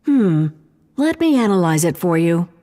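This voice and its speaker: A woman playing a calm, precise ship computer in an audio drama, explaining things in a measured, formal manner. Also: on the low side. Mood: calm, helpful. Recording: long reverberant hall-like echo.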